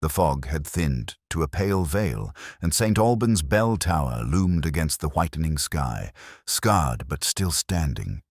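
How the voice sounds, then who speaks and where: A man narrating a scripted mystery story in a measured, solemn, dramatic literary manner. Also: extremely low pitch, lively intonation, slightly rough, no echo, clean studio sound. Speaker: audiobook narrator.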